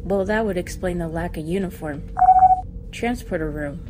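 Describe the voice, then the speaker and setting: A woman playing a brisk, authoritative starship doctor in a science fiction audio drama, clipped and clinical in manner. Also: lowish voice, very noisy, lightly acted. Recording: music behind the dialogue.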